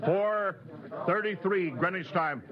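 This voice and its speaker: An American actor playing a steady, resolute rocket ship captain in a radio drama, giving authoritative military orders. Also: male voice, sits high, slow pace, a crowd in the background. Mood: grave, resolute. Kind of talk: 1950s radio drama acting. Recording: dull old radio recording, loud background commotion.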